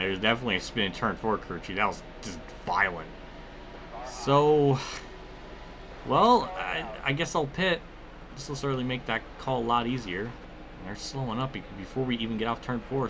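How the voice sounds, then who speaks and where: A man's voice: fairly low pitch, pushed and bright, a little hiss or hum. A man giving casual commentary over a racing video game, rambling and often cutting himself off with exclamations. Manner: rambling, self-interrupting, exclamatory. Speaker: gaming video host.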